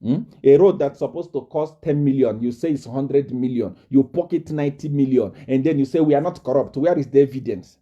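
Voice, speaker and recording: A man from Zambia preaching a fiery live-stream address, repeating himself and rising to shouts and laughter. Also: mid-range voice, brisk, few pauses, dull audio.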